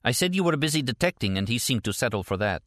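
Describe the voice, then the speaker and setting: A man's voice: medium pitch, very animated, fast talker, fairly bright tone, dry recording, studio quality, voice pushed up. A man voicing a tender, teasing, anxious woman in an audiobook novel. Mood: earnest, troubled. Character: frightened, teasing, tender American woman on a phone call.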